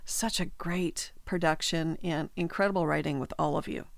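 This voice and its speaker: Woman, very low pitch, gravelly, enthusiastic interviewer, dry sound. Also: steady tone, normal speed, no echo. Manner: enthusiastic and encouraging. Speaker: interviewer host. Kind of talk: conversational interview.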